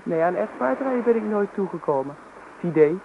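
A woman's voice: medium pitch, expressive, fairly quick, lightly acted. Actress doing young woman in an old radio play, teasing, wry, casual, very up and down voice, tinny noisy recording. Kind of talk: Dutch radio play acting.

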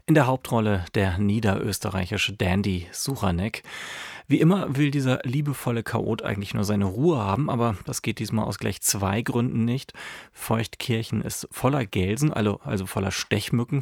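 A man's voice: fairly low pitch, creaky, no echo, studio quality. A man presenting a radio drama introduction as host, in a chatty, informal way.

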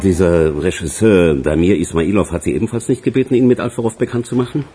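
A man's voice: sits low, quick pace, over music, close to an everyday voice. An actor playing a condescending veteran police investigator in a German radio crime drama, formal and pedantic as he interrogates. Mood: formal probing.

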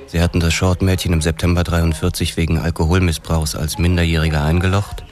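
A man narrating a radio drama in the first person, in a measured, hard-boiled way, with faint music underneath. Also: deep voice, little pitch movement, a little mellow.

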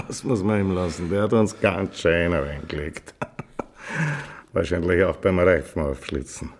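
A man acting in a radio play as a slick, mocking, self-assured small-town official with a sardonic, smooth-talking manner. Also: deep voice, lively intonation, unhurried, pauses often, heavily acted. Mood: wry. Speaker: radio drama actor.

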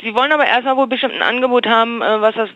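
A woman's voice: fairly high pitch, fairly even intonation, racing, a bit bright, dry recording. A woman working as a switchboard secretary, explaining insistently over the telephone. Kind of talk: phone conversation. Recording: dull, dry telephone recording.

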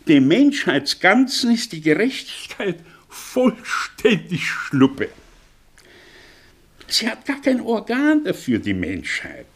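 Slow, dramatic, cynical professor. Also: male voice, high voice, wildly swooping pitch, husky, full character voice. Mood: sardonic, declamatory. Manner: theatrical, declamatory, sardonic. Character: cynical, eloquent middle-aged professor, impassioned and haunted. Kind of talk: mid-century German radio play acting.

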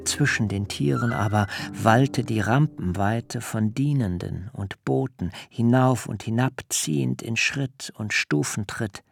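An actor playing an awed biblical patriarch who recounts a dream vision solemnly in a literary radio drama, with measured, rapt delivery. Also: male voice, medium pitch, creaky, no room sound, faint music behind.

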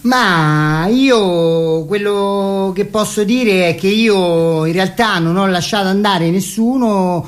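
A woman plays a police deputy chief in a satirical radio play, at a middling pitch. The officer rambles defensively, piling absurd excuses on one another in a self-justifying manner. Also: slow pace, hardly any pauses, close to an everyday voice. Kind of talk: satirical radio theatre acting.

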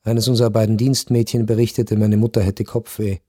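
Radio drama narrator: male, dark mellow tone, measured, melancholy and resigned, close dry sound over music. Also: on the low side, flat delivery, slightly rough, no room sound. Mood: wistful, resigned. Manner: measured, reflective. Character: melancholy first-person narrator, resigned Viennese gentleman.

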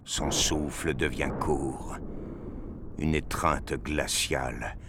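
A man narrating a scripted action story with deliberate, intense dramatic delivery. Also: a bass voice, fairly even intonation, vocal fry, noisy background. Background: prominent music.

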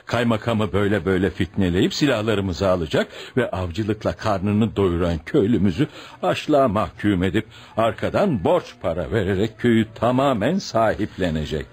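An actor in a Turkish radio play as a stubborn, pious older village hodja and father, speaking emphatically and deliberately in proverbs. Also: on the low side, lively intonation, darkish tone, husky. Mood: firm, righteous. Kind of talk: Turkish radio theatre acting.

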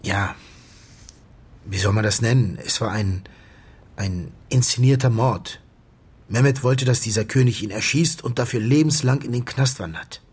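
An actor playing a grieving doctor in a radio drama, confessing in a halting, subdued voice that often sinks toward a whisper. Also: male voice, mid-range voice, a little mellow, husky. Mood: agitated confession. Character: grieving male doctor confessing to his friend's staged death. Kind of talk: German radio drama acting.

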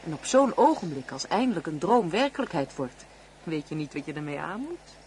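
A woman's voice: sits low, sing-song, fairly quick, darkish tone, a little hiss or hum. An actress playing a motherly, insistent married woman in a radio play, coaxing and teasing with little tag questions. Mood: soft, coaxing.

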